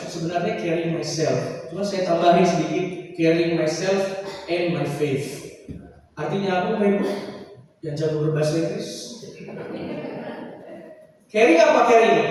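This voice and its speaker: Young priest, chatty and self-joking. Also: male voice, high voice, a little hiss or hum. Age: 20s. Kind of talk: prayer-meeting talk. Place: church hall. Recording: roomy hall reverb, distant mic.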